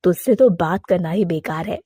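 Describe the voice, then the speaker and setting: A woman's voice: on the low side, moderate pace, warm tone, slightly rough, dry recording, studio quality. A woman voicing a stern but fair mother-in-law pigeon who firmly scolds her daughter.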